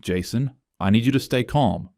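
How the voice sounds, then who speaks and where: A man's voice: medium pitch, normal speed, darkish tone, no echo, studio quality, pitched above the performer's own voice, close to an everyday voice. A man voicing a self-assured, cold female human resources investigator in a narrated story, clipped and officious. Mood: cold, official.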